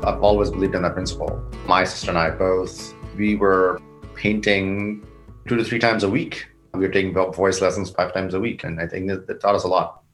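A man's voice: sits low, steady tone, brisk, faint music behind, low-quality recording. A man from Bangladesh, a company founder, answering interview questions in a reflective way and explaining his ideas step by step. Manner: reflective, explains in steps, repeats words. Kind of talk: interview conversation.

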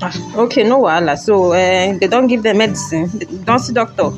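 A man's voice: sits high, brisk, natural voice. A man plays a jovial Nigerian businessman for an audio drama. He is boastful and generous, teasing as he goes and repeating phrases for emphasis. Music runs behind his voice. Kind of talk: audio drama acting in Pidgin.

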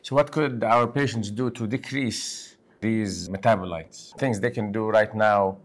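Low-voiced man from Lebanon hosting a podcast. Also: steady tone, measured pace. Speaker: podcast host, physician and section head. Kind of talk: podcast interview, conversational.

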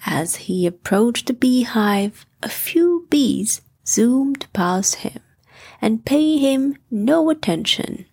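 Female storyteller, gentle children's narration, husky, unhurried, higher-pitched. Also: lively intonation, dry recording.

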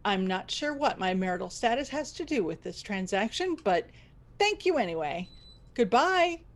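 Actress playing a proud, stubborn radio station boss. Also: female voice, medium pitch, big pitch swings, normal speed, slight background noise. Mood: insistent politeness. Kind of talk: scripted radio comedy acting.

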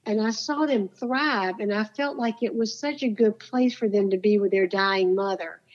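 A woman's voice: medium pitch, normal speed, lo-fi sound. A woman guest in an interview, telling stories in an earnest, heartfelt way with a soothing voice. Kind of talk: casual interview storytelling.

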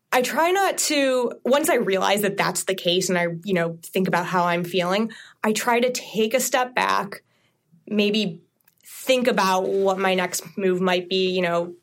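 A woman who leads a media team, speaking as a guest on a casual workplace podcast in a thoughtful, measured way. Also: medium pitch. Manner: thoughtful, measured, uses fillers.

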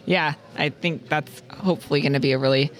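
A woman's voice: low voice, fairly even intonation, fairly quick, poor audio. A woman hosting a podcast, chatting casually and conversationally with her guests. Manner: friendly, conversational interviewer. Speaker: podcast host. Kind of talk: casual interview.

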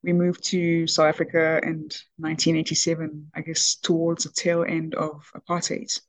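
A woman's voice: sits low, monotone, moderate pace, no echo, poor audio. A woman originally from Ghana, speaking as an interview guest with frequent ums and restarts. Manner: hesitant, many ums and restarts. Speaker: podcast guest, business executive. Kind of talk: conversational interview.

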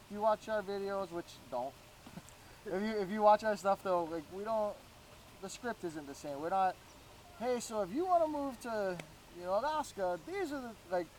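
A man's voice: high voice, moderate pace, a little hiss or hum, low-quality recording. A man from Florida, a real estate agent, telling stories as a guest in a casual podcast interview and acting out what people said to him. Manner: animated storyteller, acts out quoted voices.

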